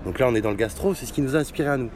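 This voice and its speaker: A middle-aged man who owns a bar, answering interview questions in a casual, hesitant way with many pauses and fillers. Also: mid-range voice, noisy background. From France. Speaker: bar owner.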